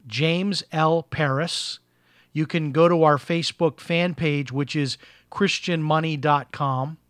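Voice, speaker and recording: A man hosting a live talk show, chatting casually with fillers, his voice slightly raspy from a recent illness. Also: fairly high pitch, a bit bright, no room sound, studio quality. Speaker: host. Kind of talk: live talk radio monologue.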